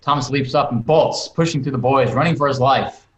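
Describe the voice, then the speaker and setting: A man's voice: mid-range voice, brisk. Dramatic male narrator in a roomy space. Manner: dramatic, emphatic storytelling. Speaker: film director reading stage directions. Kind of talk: scripted narration read aloud. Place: indoors, on a video call. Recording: roomy video call sound.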